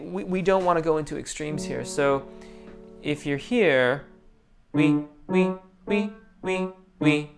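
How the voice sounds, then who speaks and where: A man's voice: a little high, smooth. A man, a vocal coach, answering viewers' questions on a live stream, thoughtful and full of restarts and ums. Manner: reads viewer comments aloud.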